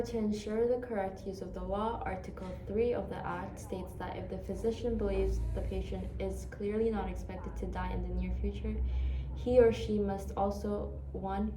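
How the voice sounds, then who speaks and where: A woman's voice: medium pitch, background noise. Young woman from Indonesia, echoey reading. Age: young adult. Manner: steady reading of case facts and statutes. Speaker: law student. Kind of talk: read-aloud presentation. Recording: echoey room, a bit far from the mic.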